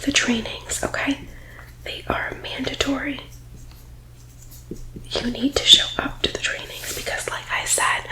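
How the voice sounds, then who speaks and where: A high, whispering boss-babe recruiter, played by a woman delivers a comedic ASMR sales pitch. Her delivery stays even and narrow in pitch, with a faux-professional, reassuring polish. She is pushy yet evasive, nudging her listeners to agree. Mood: coaxing, evasive. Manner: faux-professional, reassuring, tagging points with "okay?". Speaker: ASMR roleplay performer.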